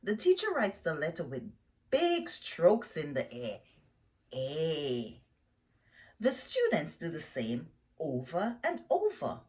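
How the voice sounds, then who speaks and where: A woman reading a children's picture book aloud in an animated, expressive way, pausing to explain the story simply to young listeners. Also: on the low side.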